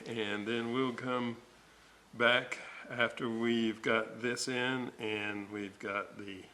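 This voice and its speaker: A man giving an informal repair walkthrough at a workbench, halting and thinking aloud as he works. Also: medium pitch, fairly even intonation, bright, forceful tone. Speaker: host of a radio restoration video series. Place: indoor workbench, hands working on components. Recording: noticeable background noise.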